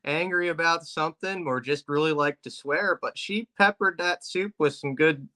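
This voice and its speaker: A man's voice: fairly high pitch, moderate pace, bright, forceful tone. A man from Pennsylvania joking in a casual chat, delivering tall tales with a straight face. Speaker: co-host.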